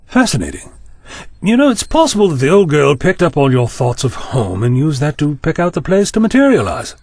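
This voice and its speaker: Male actor as wry, persuasive time-travelling hero, animated and slightly high-pitched, for audio drama. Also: brisk. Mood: wry, musing.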